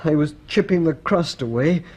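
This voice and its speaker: An actor playing a dazed, shaken and confused injured man in a radio drama, halting and repeating words. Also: on the high side, animated, darkish tone, husky. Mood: dazed, pained.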